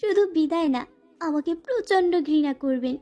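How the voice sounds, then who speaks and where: A woman's voice: sits high, animated, normal speed, mellow. A woman playing a frightened, pleading young student bride in a dramatized first-person romantic audio story. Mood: sorrowful, resigned. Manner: plaintive, pleading.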